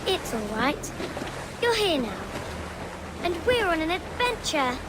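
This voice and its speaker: A woman's voice: very high-pitched, very animated, a little slow, heavily acted. A woman voicing a curious, candid young English girl, a sea captain's daughter, in a video game cutscene. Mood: quietly resigned. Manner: inquisitive, precise, matter-of-fact. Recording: noisy wind, waves and creaking ship.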